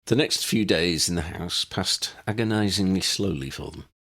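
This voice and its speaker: A man's voice: sits low, normal speed, a bit bright. A man reading a fantasy story as an audiobook narrator. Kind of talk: scripted fiction narration.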